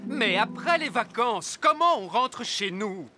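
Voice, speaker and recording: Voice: mid-range voice, lively intonation, unhurried, music in the background, natural voice. An actor voicing an anxious, grumbling elf father in a French cartoon dub, earnest and worried.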